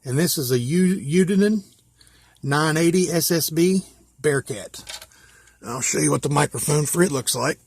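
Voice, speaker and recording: An American man with a slightly high voice talks through his hobby gear in a casual, folksy manner. He explains things plainly, one step at a time. The sound is dry, with little room echo. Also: normal speed, slightly rough. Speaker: hobbyist presenter. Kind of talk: casual hands-on gear walkthrough.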